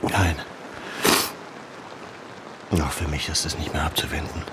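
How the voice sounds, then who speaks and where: Deep-voiced burdened leader in rain. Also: male voice. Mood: weary refusal. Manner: heavy, halting, intense. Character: burdened, resolute Armenian resistance leader, grave and self-accusing. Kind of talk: radio drama acting. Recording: steady rain noise behind voice.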